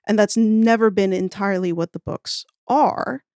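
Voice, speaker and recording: A woman's voice: mid-range voice, moderate pace, darkish tone, dry recording, clean studio sound. A woman speaking as a podcast guest in casual, opinionated conversation, thinking aloud in an informal, emphatic way. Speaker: podcast guest, audio fiction writer.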